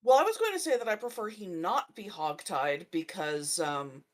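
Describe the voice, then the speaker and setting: A woman playing a tabletop roleplaying game, speaking in character in a measured, deliberate manner. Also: lowish voice, lively intonation.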